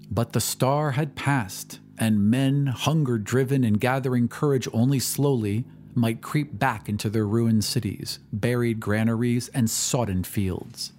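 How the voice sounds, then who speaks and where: A man reading a dramatic scripted story as a podcast narrator, with a measured, solemn literary delivery. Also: mid-range voice, darkish tone, a little hiss or hum. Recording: background music under the voice. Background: music.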